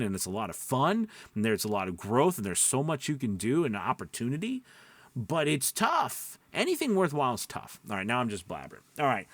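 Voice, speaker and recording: A man's voice: mid-range voice, no room sound. A man giving casual, encouraging coaching advice to viewers, conversational and reassuring, often checking in with short tag questions. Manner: conversational, checks in with frequent "right?" and "okay?". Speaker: voice-over coach and video host. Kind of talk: casual advice talk to camera.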